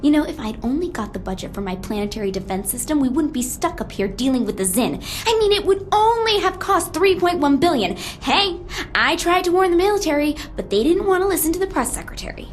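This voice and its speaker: High-voiced, self-important brainy ex-agent. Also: female voice, very animated, fairly quick, slight background noise. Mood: indignant, exasperated. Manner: indignant, plaintive, pompous. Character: exasperated, self-important conspiracy-minded woman genius, former agent. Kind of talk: scripted video game audio log monologue. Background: music behind the voice.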